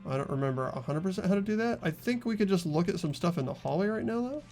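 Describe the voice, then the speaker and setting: Man, fairly high voice, casual let's-play commentary, game host, music underneath. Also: expressive, fast talker, a little mellow.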